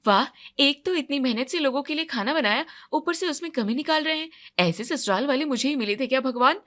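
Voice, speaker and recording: Headstrong young bride, resentful and complaining, high forceful pitch, for a family story voiced by a woman. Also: lively intonation, a little fast. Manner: indignant, complaining. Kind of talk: acted dialogue in a Hindi audio story.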